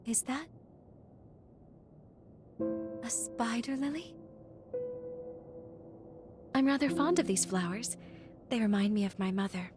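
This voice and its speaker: An actress playing a caring young female healer in a video game, speaking in a gentle, articulate, earnest way. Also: on the high side, animated, measured pace, long pauses, over music. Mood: fond, wistful. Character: caring young female healer companion with a bitter family grudge. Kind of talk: video game cutscene voice acting.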